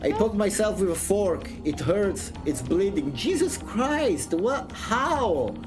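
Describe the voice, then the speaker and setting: Animated, joking man streamer over music. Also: high-pitched, slow. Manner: joking, playful, exclamatory. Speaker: vtuber streamer. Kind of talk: casual livestream chat while reading a visual novel.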